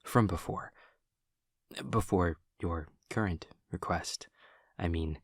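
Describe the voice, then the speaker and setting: A man playing an awkward, earnest, literal-minded demon classmate in an intimate audio roleplay, speaking formally and precisely with hesitant pauses. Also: low voice, husky, no echo, clean studio sound, exaggerated voice. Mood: careful, literal.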